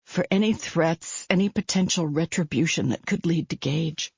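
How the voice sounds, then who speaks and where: A woman's voice: deep voice, moderate pace, creaky, a little mellow, husky, no echo, highs cut off, exaggerated voice. A woman reading a distraught young mother who blames herself, her voice pleading and near breaking. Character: distraught young mother, tearful and self-blaming.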